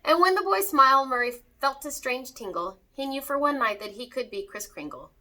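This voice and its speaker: A woman reading a rhyming children's story aloud with expressive storytelling delivery. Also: sits high, normal speed.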